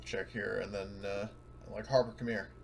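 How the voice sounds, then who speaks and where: A man with a lowish voice heavy with fry talks casually as a player in a tabletop game. Faint ambient noise sits behind him. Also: little pitch movement, normal speed.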